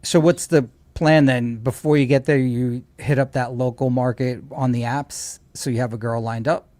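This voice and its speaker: A man's voice: mid-range voice, steady tone, moderate pace, darkish tone, no room sound. A man chatting casually on a livestream as a co-host, opinionated and teasing. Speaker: co-host or regular guest on a livestream. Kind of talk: casual chat.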